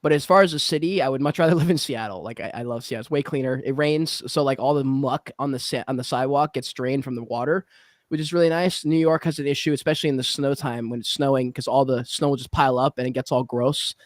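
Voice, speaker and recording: A man's voice: mid-range voice, fast, no room sound, studio quality. A young man hosting a casual interview podcast, laid-back and swearing casually. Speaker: podcast host.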